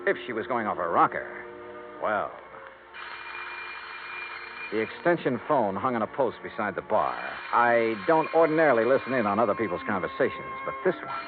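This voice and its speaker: An actor playing a wry, hard-boiled insurance investigator who narrates dryly and sardonically in 1950s radio noir style. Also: male voice, fairly low pitch, sing-song, normal speed, background noise. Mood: wry, brooding. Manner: dry, sardonic, clipped. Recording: old radio broadcast, tinny, music behind.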